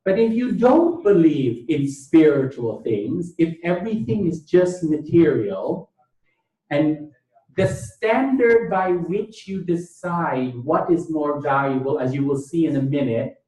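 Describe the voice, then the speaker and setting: A man's voice: a little high, animated, slow, mellow. A man from Thailand lecturing, speaking emphatically, repeating key phrases and posing rhetorical questions. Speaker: lecturer, bioethicist. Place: indoor lecture room. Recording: echoey room, distant mic.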